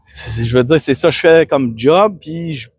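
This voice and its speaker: A man's voice: a little high, normal speed, husky. A man with a Québécois French accent, a fitness coach talking earnestly and rambling in a casual self-promotional monologue. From Quebec. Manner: rambling, earnest, many restarts and fillers.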